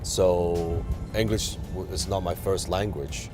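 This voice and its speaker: A man's voice: low voice, fairly even intonation, slow, music in the background. A man from Hong Kong whose first language is Chinese, hosting a casual advice talk and telling his story with many fillers and restarts. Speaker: host. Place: in a car.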